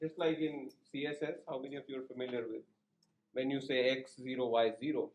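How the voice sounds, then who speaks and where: A man lecturing in programming, explaining each step in short instructional phrases with pauses between them. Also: mid-range voice, level tone, a little mellow, low-quality recording. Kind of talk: step-by-step classroom coding tutorial.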